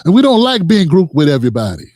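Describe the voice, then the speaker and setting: A man's voice: a little high, wildly swooping pitch, fast, a little mellow, no echo, clean studio sound. A man hosting a reaction commentary, talking in a heated, emphatic way with frequent profanity and direct address to viewers. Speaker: commentary host.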